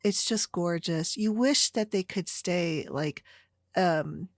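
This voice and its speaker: A woman's voice: lowish voice, slow, dry recording, studio quality. An American woman hosting a solo podcast, chatting casually in a rambling way. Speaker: podcast host.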